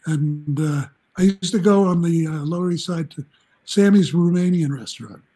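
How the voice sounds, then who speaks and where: This is an older man in his seventies, speaking from a room in his home over a video call. His voice is mellow and a bit husky, sitting somewhat high for a man. He tells stories in a loose, rambling way, chuckling and restarting his sentences. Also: moderate pace. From New Jersey, United States. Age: 70s. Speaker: guest, journalism professor. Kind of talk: casual interview storytelling.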